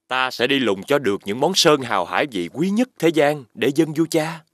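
An actor playing a scheming, boastful elder prince in an animated fairy tale, smug and condescending. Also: male voice, mid-range voice, normal speed, no room sound.

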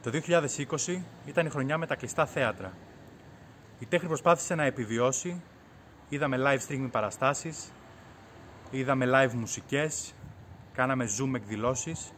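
A man's voice: mid-range voice, pauses often, a bit bright. A man in his thirties giving a scripted message outdoors in a city. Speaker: actor. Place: open outdoor park in a city. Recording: noisy outdoor ambience.

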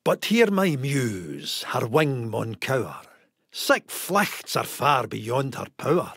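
A man with a Scottish accent giving a theatrical, expressive dramatic recitation of a narrative poem. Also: medium pitch, slow, creaky, slightly rough, no echo, clean studio sound. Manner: theatrical, expressive storytelling delivery. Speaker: poetry reader.

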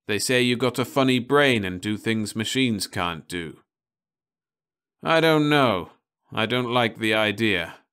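A man's voice: lowish voice, lively intonation, a little slow, fairly bright tone, smooth. A man reading aloud, voicing a blunt, weary male rocket captain who speaks curtly and to the point. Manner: curt and blunt.

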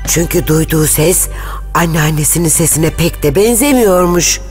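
A woman storyteller reading a scripted children's fairy tale in an expressive, measured way. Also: low-pitched, faint music behind.

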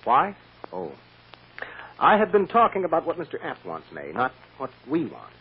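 An actor playing a firm, shrewd defense lawyer with a blunt, emphatic manner in an old-time radio drama. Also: male voice, on the high side, huge pitch swings, moderate pace, slightly rough, full character voice. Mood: determined. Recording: muffled, hissy old radio recording.

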